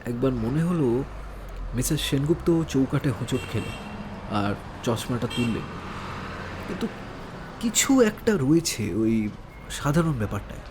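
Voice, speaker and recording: A man's voice: medium pitch, big pitch swings, a little slow, pauses often. A man playing an eager junior detective, noisy recording. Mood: thoughtful. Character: observant, eager male junior detective, respectful to superior. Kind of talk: Bengali audio detective drama acting. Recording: noticeable noise behind the voice.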